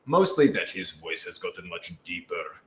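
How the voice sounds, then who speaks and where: A man's voice: deep voice, big pitch swings, moderate pace, a bit bright. Rambling, enthusiastic man in an echoey room. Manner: enthusiastic, rambling, self-effacing. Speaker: co-host and voice actor. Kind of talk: casual live Q&A chat. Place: indoor room, on a couch. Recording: echoey, roomy sound.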